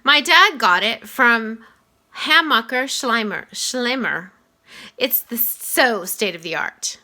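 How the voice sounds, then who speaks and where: A woman's voice: on the high side, expressive, slow pace, pushed and bright, heavily acted. A woman voicing an eager, bragging schoolboy in a storybook.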